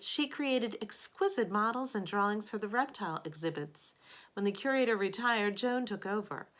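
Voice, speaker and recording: Woman, medium pitch, teacher-like storyteller, expressive children's read-aloud, dramatic emphasis on key words. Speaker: teacher reading a picture book to children.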